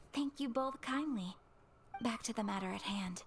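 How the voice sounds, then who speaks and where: A young noblewoman from a game, with a fairly high voice and impeccably formal manners. She is poised, gracious and refined, with some noise behind her. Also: measured pace. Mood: earnest, solemn. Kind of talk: anime game voice acting.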